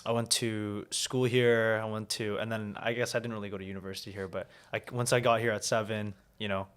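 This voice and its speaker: A young man from South Korea, a podcast guest, talking in a relaxed way with lots of "like" and "um" fillers. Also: fairly low pitch, fairly quick, smooth. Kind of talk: casual interview talk.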